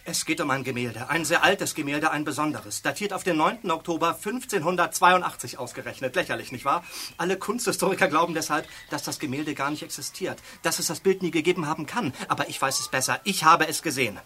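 A man's voice: a little high, quick pace, pushed and bright. Worked-up man in trouble, tense and insistent, kinda pompous, radio play with background noise. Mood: urgent, insistent. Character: agitated man in trouble, fleeing his family, insistent. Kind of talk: German audio drama acting.

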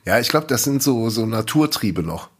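This man has a slightly husky voice and a middle pitch, and he co-hosts a casual podcast. He teases his partner with a halting delivery, full of "äh" and restarts. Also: expressive, fairly bright tone. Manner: teasing, halting, many fillers. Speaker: podcast co-host.

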